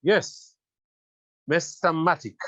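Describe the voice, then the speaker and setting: A man's voice: on the high side, slow pace, fairly bright tone, no room sound, lo-fi sound, pitched above the performer's own voice. A man voicing a scornful, bullying African army interpreter who barks broken English in an audiobook-style reading. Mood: scornful, barking. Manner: broken, mangled English, barked insults.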